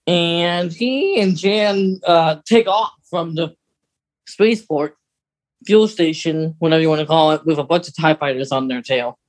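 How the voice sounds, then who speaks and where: A podcast co-host chatting casually and recapping a story in a halting, rambling way. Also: mid-range voice.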